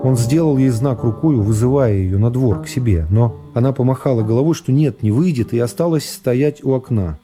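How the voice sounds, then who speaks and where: A middle-aged man narrating a classic novel for radio in a measured, dramatic storytelling manner. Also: mid-range voice. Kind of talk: literary narration in a radio play. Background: music.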